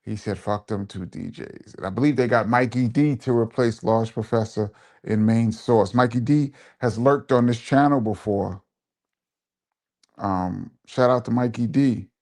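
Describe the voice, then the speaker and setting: A man's voice: mid-range voice, measured pace, a little mellow. Man hosting a casual live stream. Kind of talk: casual live chat, reading viewer comments and reminiscing.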